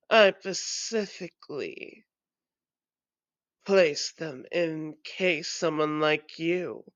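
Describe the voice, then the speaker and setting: Casting: actress as ancient female vampire, taunting and theatrical, drawn-out menacing delivery, dry muffled audio roleplay sound. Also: on the low side, smooth, no echo. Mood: mocking menace. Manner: slow, deliberate, theatrical menace. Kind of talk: scripted audio roleplay monologue.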